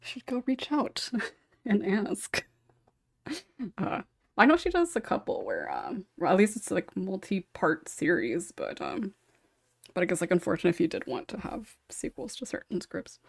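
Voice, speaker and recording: A woman's voice: mid-range voice, wildly swooping pitch, normal speed, vocal fry, husky, no echo, studio quality. A woman hosting a podcast in a casual, chatty way, often giggling as she talks. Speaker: podcast host.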